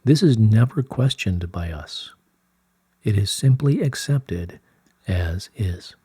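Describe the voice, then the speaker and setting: Man reading as slow, solemn being of light. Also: lowish voice, animated, warm tone, slightly rough, dry recording, studio quality, exaggerated voice. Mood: patient guidance. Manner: formal, measured, solemn phrasing. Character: serene, wise collective of light beings, male voice. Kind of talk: scripted channelled dialogue read aloud.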